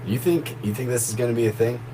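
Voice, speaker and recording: A man's voice: medium pitch, quick pace. A man who narrates horror stories and does voice acting, chatting casually and rambling on a live stream, laughing easily. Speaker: horror story narrator and voice actor. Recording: noisy background hum.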